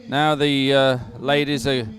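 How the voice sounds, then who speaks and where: A man presenting live sports commentary, pausing as he goes and adding upbeat, sentimental asides. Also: mid-range voice, flat delivery, faint music behind. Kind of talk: live commentary on a dragon boat regatta.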